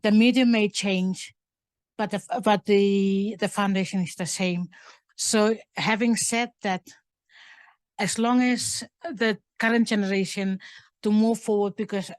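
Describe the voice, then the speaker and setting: An older woman gives an unscripted answer at a talk, with a medium-pitched voice. She feels her way through sentences, often stopping to rephrase. The sound is dry, with very little room in it. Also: fairly even intonation, measured pace, clean tone, no echo. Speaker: guest speaker and curator at a museum talk. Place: indoors at a museum talk event.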